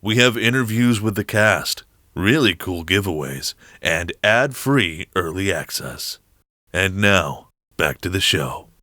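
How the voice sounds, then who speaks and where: Genial, laid-back man of the crew, deep voice, slow delivery, audio drama. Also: expressive, sharp tone, full character voice. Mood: promotional. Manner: unhurried, easygoing. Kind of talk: audio drama dialogue and in-character promo.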